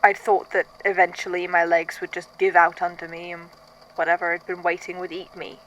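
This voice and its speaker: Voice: medium pitch, monotone, fairly quick, a bit bright, lightly acted, poor audio. A performer playing a weary, haunted archivist, speaking in a hesitant, introspective, conversational way in an audio drama monologue. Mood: haunted, reflective. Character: weary, haunted archivist recording statements of uncanny events. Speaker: voice actor in a fan fiction audio drama.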